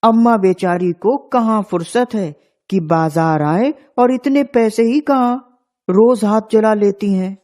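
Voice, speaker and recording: A woman's voice: medium pitch, measured pace, dark tone, natural voice. A woman voicing a brave, caring young village boy in a children's story. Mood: thoughtful, tender.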